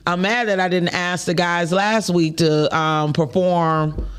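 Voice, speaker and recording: Man, Detroit accent, high voice, lively podcast co-host. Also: a little slow, smooth. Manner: animated, chatty, laughs often. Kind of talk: casual chat.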